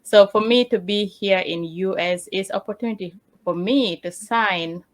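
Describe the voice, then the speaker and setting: Ugandan-born woman motivational speaker, affirming and preacherly, mid pitch. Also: unhurried. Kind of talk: personal introduction speech.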